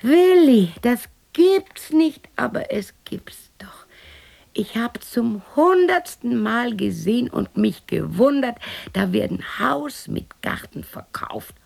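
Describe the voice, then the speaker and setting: Casting: lonely, defiant widow, sixties, radio play. High, husky voice, theatrical, talking to herself. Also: female voice, animated, takes it slowly, exaggerated voice. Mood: indignant. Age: 60s. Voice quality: husky, breathy, creaky. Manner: theatrical, muttering to herself, swinging into shouts. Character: lonely, eccentric, defiant sixty-year-old German widow talking to herself. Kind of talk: radio drama monologue acting.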